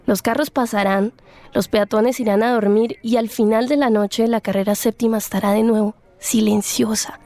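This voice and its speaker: A woman's voice: fairly high pitch, fairly even intonation, moderate pace, warm tone, no room sound. A woman reading scripted, literary podcast narration.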